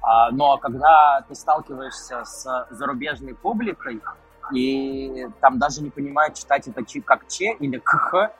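Husky-voiced man chatting as podcast guest. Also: mid-range voice, unhurried, a bit bright, faint music behind, rough recording. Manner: talkative, restarts and fillers while explaining. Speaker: podcast guest, film director. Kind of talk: casual conversation.

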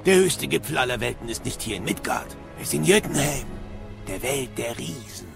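Casting: ancient wise captive, swooping delivery, creaky edge, cheeky and eloquent, fantasy audio drama. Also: male voice, medium pitch, lively intonation, moderate pace, music in the background. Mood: boastful, explanatory. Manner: eloquent, sardonic. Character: cheeky, boastful wise male captive, ancient know-it-all.

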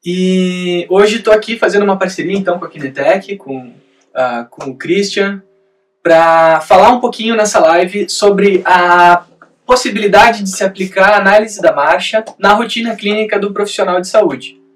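A man's voice: high voice, normal speed, distant mic. A man who teaches at a university, a guest on a live webinar, talking a little nervously. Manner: slightly nervous, apologetic.

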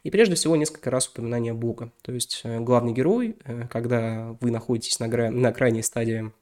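Young male podcast host, a bit sick, fast and loose, full of fillers and restarts. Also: mid-range voice, a little mellow. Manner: rambling, many fillers and self-corrections. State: slightly ill. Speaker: podcast host reviewing a novel. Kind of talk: casual spoken book review.

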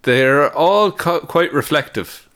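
A man's voice: a little high, lively intonation, slow pace, a bit bright. An Irish man co-hosting a comedy podcast, riffing casually in a mock-serious, self-deprecating way. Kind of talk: casual comic banter.

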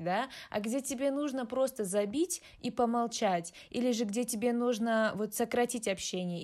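A young woman with a mid-range voice, talking casually. She shares her thoughts in a measured, reflective way, with occasional fillers. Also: medium pitch, a little mellow. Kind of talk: casual chat.

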